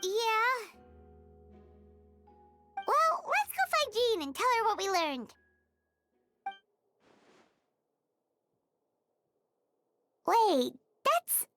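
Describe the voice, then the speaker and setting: A woman voicing a tiny, earnest, chirpy childlike companion with a squeaky voice in a video game. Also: animated, slow pace, over loud music, exaggerated voice.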